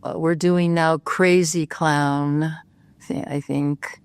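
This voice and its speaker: An older woman speaks in a low register, with a close and dry sound. She rambles easily through her stories. She leans on frequent fillers and self-corrections. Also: steady tone, slow pace, smooth, dry recording. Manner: rambling, many "you know" fillers, restarts. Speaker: actress, guest. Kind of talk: casual interview chat.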